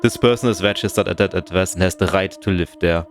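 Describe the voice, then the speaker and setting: A man's voice: low-pitched, fast talker, faint music behind. A man voicing a curt, officious female police dispatcher who speaks in a clipped, bureaucratic way.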